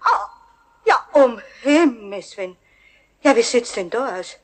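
A woman's voice: fairly high pitch, expressive, unhurried. An actress playing a snappish, indignant caretaker woman with a Bavarian accent in a radio play. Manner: scolding, indignant.

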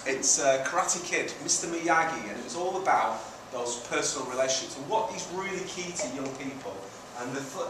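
Man presenting in a very echoey room. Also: mid-range voice. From Manchester, England, and Italy. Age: middle-aged. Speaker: charity founder giving a presentation. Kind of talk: informal public presentation. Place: indoor community centre meeting room. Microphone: distant camera at the back of room. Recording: very echoey room, distant mic, noisy.

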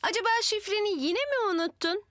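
Young female builder, kids' cartoon: friendly, organised, very high voice, heavy music underneath. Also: lively intonation, fairly quick. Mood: cheerful. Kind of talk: children's cartoon dub. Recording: loud music behind the voice.

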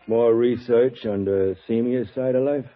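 A man's voice: medium pitch, steady tone, unhurried, natural voice. A man playing a sly, sardonic hotel clerk. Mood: mocking. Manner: sardonic, needling. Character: sly sarcastic male desk clerk of a cheap hotel. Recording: dull old radio broadcast recording.

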